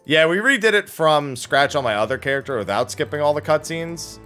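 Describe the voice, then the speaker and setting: A man chatting casually as a livestream host, telling anecdotes in an animated, profane way and acting out the dialogue. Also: fairly high pitch, a little fast, soft background music.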